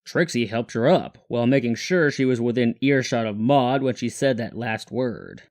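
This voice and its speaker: A man's voice: mid-range voice, expressive, normal speed, darkish tone. A man reading scripted story narration as the narrator.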